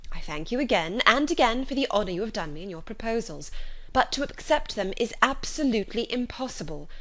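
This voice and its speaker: A woman voicing an earnest, firm young gentlewoman in a storybook, emphatic and formal. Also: a little high, big pitch swings, a little fast, pitched above the performer's own voice. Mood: exasperated, firm.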